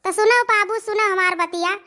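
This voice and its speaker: A woman's voice: extremely high pitch, normal speed, sharp tone. A young woman with an Awadhi accent playing an overworked, complaining village daughter-in-law in a comic cartoon, repeating her phrases for emphasis. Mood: bitter, complaining.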